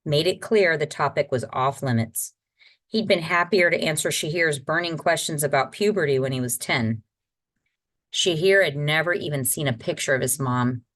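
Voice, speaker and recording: A woman's voice: low-pitched, normal speed, a bit bright. A woman reading a children's novel aloud as a guest storyteller, in scripted narration. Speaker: guest reader.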